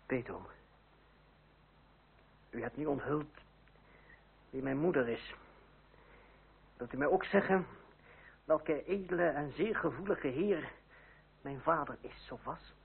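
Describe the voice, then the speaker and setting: An actor playing a proud, sharp-witted young republican gentleman in a period radio drama, with formal, sardonic, measured speech. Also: a little high, expressive, vocal fry, husky, full character voice. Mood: probing, urgent. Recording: old muffled radio play, hum, narrow band.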